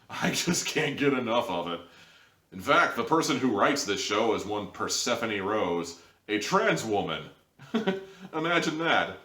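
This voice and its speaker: A man with a low voice hosts a casual review, speaking in a wry, conversational and emphatic manner. He sounds a little away from the microphone, in a room with noticeable echo. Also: pushed and bright. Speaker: audio drama reviewer, host. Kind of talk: casual, opinionated review commentary. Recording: roomy, distant microphone.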